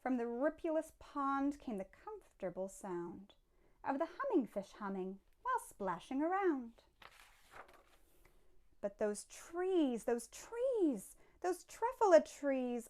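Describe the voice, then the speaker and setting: Slow, high-voiced woman reading a storybook. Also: very animated. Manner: dramatic pauses, emphatic phrasing. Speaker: read-aloud storyteller. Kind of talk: expressive children's storybook reading.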